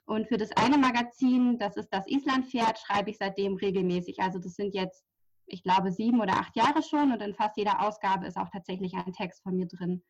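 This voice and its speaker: A woman's voice: mid-range voice, fairly quick. A woman in her thirties from near Hamburg, speaking as a podcast guest in a chatty way, with many fillers and light laughs. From near Hamburg, Germany. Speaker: podcast guest, journalist and horse therapist. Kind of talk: casual interview talk. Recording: slightly dull lo-fi audio.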